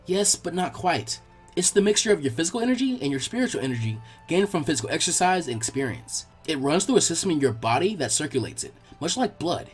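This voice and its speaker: Nerdy girl tutor, read by a man: courteous, encouraging, slightly awkward, animated, with music underneath. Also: a little high, fast, husky. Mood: instructive.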